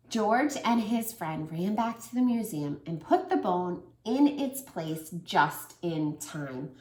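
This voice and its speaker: A woman reads a picture book aloud for toddlers, pausing often to ask them questions. Her fairly high voice moves in a lively, sing-song way at an unhurried pace. The room around her adds a noticeable echo. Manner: warm, animated, asks children questions. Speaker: children's story reader and teacher. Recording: echoey room.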